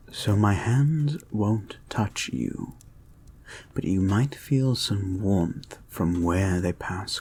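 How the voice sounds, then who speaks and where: A creepy, controlling therapist acted by a man in an intimate hypnosis audio drama. His voice is low and gravelly, breathy at the edges, and he speaks softly with very deliberate, polite phrasing, swaying widely in pitch as he soothes. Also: heavily acted. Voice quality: breathy, husky, often dropping to a whisper. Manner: soft-spoken, deliberate, halting, formally polite. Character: creepy, soothing male experimental therapist, calmly controlling and intent. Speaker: audio roleplay performer. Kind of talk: slow intimate ASMR-like audio roleplay, guided hypnosis.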